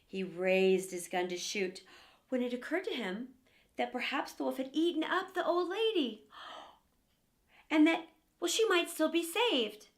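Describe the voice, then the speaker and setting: A woman narrates a children's story with great expression. Her voice is high and moves freely up and down as she tells the tale. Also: lively intonation, measured pace.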